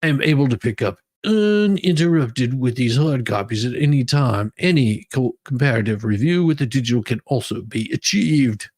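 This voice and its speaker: A man giving a mock-dramatic reading as a demanding woman inmate pleading her own case, with halting, emphatic delivery. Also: medium pitch, animated, a little mellow, close to an everyday voice. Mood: earnest, insistent.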